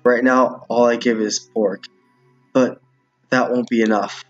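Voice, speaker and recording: A man's voice: medium pitch, fairly even intonation, measured pace, muffled recording, faint music behind, natural voice. A man voicing a cowed, guilt-ridden male schoolteacher for a visual novel, meek and hesitant. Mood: sheepish.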